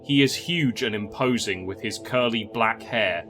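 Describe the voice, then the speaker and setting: A man's voice: mid-range voice, measured pace, over music. A man reading scripted narration for an audio drama.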